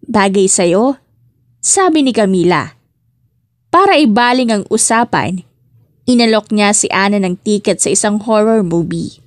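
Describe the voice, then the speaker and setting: Lady reading an audiobook story, mid pitch voice, really dry recording. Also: lively intonation, normal speed, dry recording. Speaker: audiobook narrator. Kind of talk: scripted story narration.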